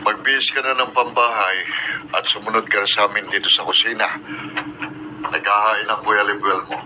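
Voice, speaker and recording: An actor playing a stern middle-aged farmer father, curt and gruff with his son, in a radio drama. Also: on the low side, fairly even intonation, normal speed, natural voice. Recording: noisy radio broadcast recording.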